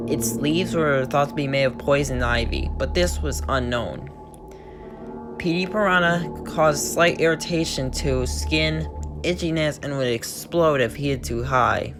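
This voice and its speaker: Young man reading a creepypasta over music. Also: on the high side. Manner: amateur reading with stumbles and self-corrections. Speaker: creepypasta reader. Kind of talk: reading a creepypasta aloud, then casual commentary. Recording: music playing behind the voice.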